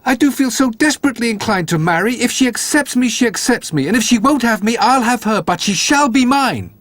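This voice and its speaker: A man's voice: very high voice, lively intonation, brisk, fairly bright tone, dry recording, pitched above the performer's own voice, exaggerated voice. A man voicing a naive, exuberant, brash young man in a storybook tale. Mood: eager, defiant. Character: Jack, simple eager young man, cheerfully brash. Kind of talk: storybook character voice.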